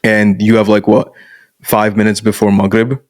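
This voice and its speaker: A young man with a fairly low voice talks bluntly and casually as a podcast guest, dropping "bro" into his points. He grew up in Canada. The sound is dry and close, with no room echo. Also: completely flat delivery, moderate pace. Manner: frank, blunt, peppers speech with "bro". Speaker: guest masculinity coach.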